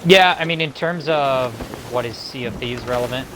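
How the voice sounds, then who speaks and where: A man co-hosting a game stream, chatting and explaining in a casual, somewhat halting way. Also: medium pitch, noisy background. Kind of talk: casual game commentary and chat.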